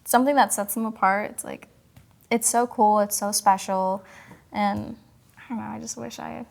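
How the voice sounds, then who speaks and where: A woman's voice: mid-range voice, fairly even intonation, normal speed, vocal fry. An American woman from Kentucky, whose first language was Spanish, hosting a podcast in a casual interview style. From Kentucky, United States. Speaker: podcast host.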